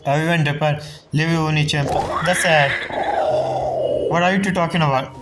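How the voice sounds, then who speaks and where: Casual woman streamer over loud game music. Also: sits low, steady tone, normal speed, low-quality recording. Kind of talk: casual reaction commentary.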